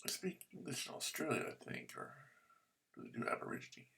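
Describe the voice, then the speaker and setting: A man from the United States vlogging about ham radio, muttering to himself as he searches on his computer, with bursts of excited cheering. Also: fairly quick. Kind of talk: casual screen-recorded commentary.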